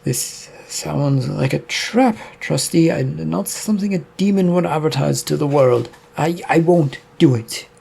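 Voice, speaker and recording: Scared stubborn preacher standing up to a demon, husky tone, read by a man. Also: on the high side, measured pace, few pauses, mellow, exaggerated voice. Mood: fearful defiant. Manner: nervous but defiant. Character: fearful stubborn male preacher.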